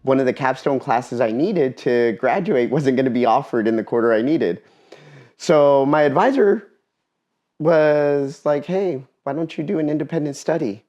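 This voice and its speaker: A man giving a personal talk in an earnest, reflective way, pausing often between phrases. Spanish is his first language. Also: medium pitch, mellow, lo-fi sound. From Eastern Washington, USA.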